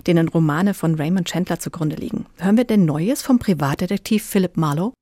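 Woman radio host, slightly low, dry studio. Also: fairly quick, warm tone, dry recording. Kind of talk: scripted presenting and interviewing.

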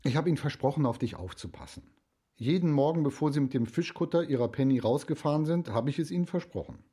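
Anxious foster dad, animated delivery. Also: male voice, a little high, quick pace, husky, no room sound, studio quality. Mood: worried, reluctant. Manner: hesitant, doubtful, often humming in thought. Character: anxious, cautious foster father of a headstrong girl. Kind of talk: children's radio play acting.

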